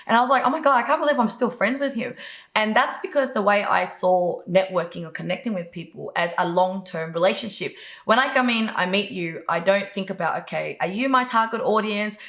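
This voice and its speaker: Woman from Vietnam, middle-aged, chatty interview answers, mid-pitched voice. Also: fairly quick, a bit bright, roomy sound. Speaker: host, business coach.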